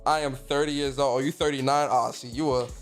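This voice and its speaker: A man in his thirties hosting a casual gaming livestream, reading out viewer comments and giving loose, profane shout-outs to the chat. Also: mid-range voice, steady tone, brisk, soft background music. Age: 30s. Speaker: streamer and podcast host.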